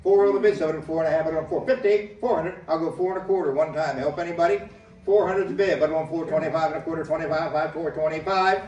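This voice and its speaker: A man's voice: a little high, fairly even intonation, quick pace, a little mellow, lo-fi sound. A man working as an auctioneer, calling live bids in a rhythmic, repetitive chant of numbers. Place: indoor metal-walled storage facility corridor. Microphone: camera several metres away. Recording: echoey storage hallway, distant microphone.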